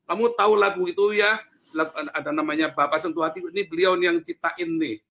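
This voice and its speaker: A man's voice: fairly high pitch, steady tone, fairly quick, bright, forceful tone. A man arguing as a pastor in a heated religious debate, insistent, blunt and informal. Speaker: pastor on a panel.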